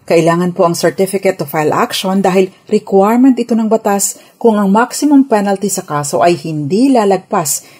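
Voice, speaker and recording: A woman with a Filipino accent giving a scripted legal explainer on radio as a lawyer, formal, measured and instructive. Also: medium pitch, darkish tone, husky.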